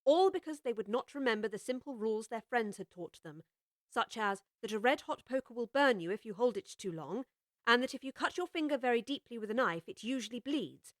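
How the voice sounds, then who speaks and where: A woman reading scripted storybook narration in a measured, expressive way. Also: a little high, no echo, clean studio sound. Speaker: audiobook narrator.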